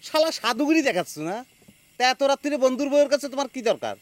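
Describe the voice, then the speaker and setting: High-pitched, animated, harried village man. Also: racing, dry recording. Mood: indignant, accusing. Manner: argumentative, full of rhetorical questions. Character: harried, touchy young village man defending himself. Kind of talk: Bengali TV comedy drama acting.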